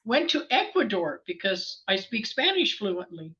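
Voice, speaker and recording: A woman guest in a casual group chat, telling stories in an animated way and laughing often. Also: medium pitch, measured pace, lo-fi sound.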